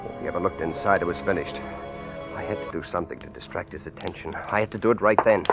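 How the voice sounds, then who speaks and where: A man's voice: sits low, quick pace, natural voice. An actor playing a frightened, stammering ordinary man caught among killers, in a 1940s radio suspense drama. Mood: tense dread. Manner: hesitant, stammering. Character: frightened ordinary husband mistaken for a killers' client. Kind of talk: 1940s radio acting, first-person suspense narration. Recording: old-time radio recording with music.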